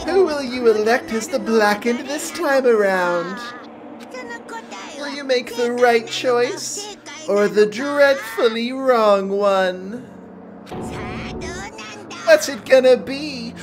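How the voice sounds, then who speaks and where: A woman's voice: fairly high pitch, slow, long pauses. A woman voicing a gleeful, sadistic bear mascot who hosts a deadly trial, with a sing-song, teasing, theatrical delivery. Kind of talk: fan voice acting over a game. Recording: game music behind the voice.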